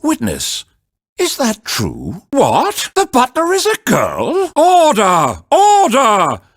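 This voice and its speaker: A man's voice: very high-pitched, huge pitch swings, no room sound, studio quality, exaggerated voice. A man voicing a stern, pompous elderly judge of a medieval witch trial in a theatrical video game style, formal and easily flustered. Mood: startled. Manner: formal, pompous, slow courtroom pronouncements, sputtering when surprised.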